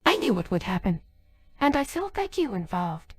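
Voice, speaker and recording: A synthetic female voice playing a sorrowful, devoted young genie in a game cutscene, apologetic and halting. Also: medium pitch, sing-song, breathy edge, dry recording, clean studio sound. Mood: sorrowful regret. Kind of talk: dubbed video game cutscene dialogue.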